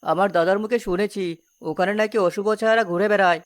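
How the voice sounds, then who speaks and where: A woman's voice: mid-range voice, steady tone, fast talker, no room sound, natural voice. Woman as a wary village shopkeeper uncle, dry sound. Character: cautious, superstitious village uncle who runs a shop. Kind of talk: cartoon story dialogue.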